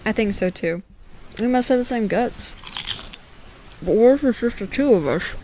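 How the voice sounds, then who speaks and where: A woman's voice: a little high, expressive, moderate pace, mellow, slight background noise, natural voice, low-quality recording. A woman playing a cheeky, blunt, sweary teenage daughter in an audio drama.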